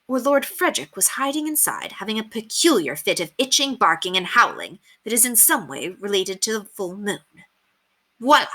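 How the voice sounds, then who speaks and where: A woman voicing an eager, clever young governess in a storybook, musing aloud and exclaiming brightly. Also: mid-range voice, sing-song, moderate pace. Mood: excited, triumphant.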